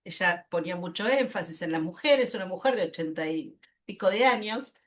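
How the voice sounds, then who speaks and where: A woman with an Argentine accent giving a spontaneous panel presentation, emphatic and deliberate, repeating words as she builds her point. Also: fairly low pitch, lively intonation. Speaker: panelist, health-rights lawyer.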